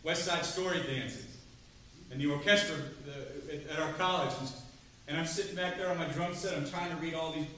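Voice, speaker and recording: A middle-aged man giving a drum clinic to students, chatty and lively, asking the room questions. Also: fairly high pitch, moderate pace. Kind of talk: percussion clinic talk to students. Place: large rehearsal hall, standing before seated students. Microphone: far camera, no mic. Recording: roomy hall from far off, faint noise.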